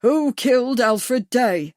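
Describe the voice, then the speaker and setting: A woman's voice: medium pitch, moderate pace, dry recording, studio quality, close to an everyday voice. A woman voicing a burly, menacing man with a thick accent who snarls and growls threats.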